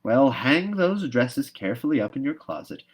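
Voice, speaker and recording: A man's voice: mid-range voice, big pitch swings, slightly rough, voice pushed up. Man reading as a stern, practical older woman. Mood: dismissive. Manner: brisk, scolding, emphatic.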